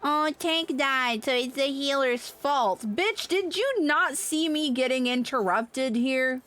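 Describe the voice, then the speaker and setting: A woman's voice: high-pitched, slow, fairly bright tone, soft background music. A woman livestreaming a game, giving casual commentary with sweary, exasperated venting. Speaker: livestreamer playing an online game. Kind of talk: casual gaming stream commentary.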